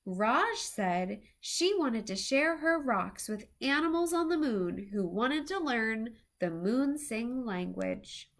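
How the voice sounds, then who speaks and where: High, slow, animated woman storyteller. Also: gravelly. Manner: deliberate, animated delivery for young children. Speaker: storyteller reading a children's book aloud. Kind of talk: expressive children's storytime narration.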